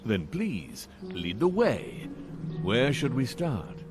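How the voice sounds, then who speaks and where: An actor playing a haughty, shrewd dwarf baron in a video game, speaking in a formal, imperious and deliberate way. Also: male voice, mid-range voice, sing-song. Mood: courteous, commanding. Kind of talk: video game cutscene acting. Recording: game music behind the voice. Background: game music.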